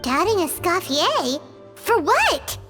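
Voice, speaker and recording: Squeaky, very high childlike companion. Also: female voice, very animated, takes it slowly, full character voice. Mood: puzzled. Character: tiny high-pitched childlike companion, curious and chatty. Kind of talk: English video game voice acting. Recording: music playing behind the voice.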